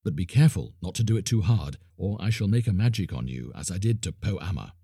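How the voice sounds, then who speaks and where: A commanding ancient magician, voiced by a man, speaks low and slow with a husky, warm edge. Every word lands like a decree. The recording is close and dry. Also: animated, no room sound, heavily acted. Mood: solemn. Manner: slow, low and solemn pronouncements.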